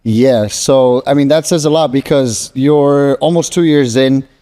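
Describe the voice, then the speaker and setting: A man's voice: medium pitch, a little slow, warm tone. A man hosting a casual interview, asking questions and reacting emphatically to his guest's answers. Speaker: interviewer host.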